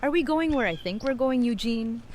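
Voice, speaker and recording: A woman's voice: fairly high pitch, expressive, moderate pace, darkish tone, slight background noise, lightly acted. A woman playing a tough, blunt, teasing but loyal friend in an audio drama, with casual, salty delivery. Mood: blunt, exasperated. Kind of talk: fan audio drama acting.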